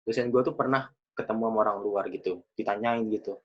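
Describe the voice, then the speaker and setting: A man of about twenty, hosting a casual podcast chat, chatty and quick to laugh. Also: lowish voice, fairly even intonation. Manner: chatty, laughs often, loose slangy talk. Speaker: podcast host. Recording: dull lo-fi recording.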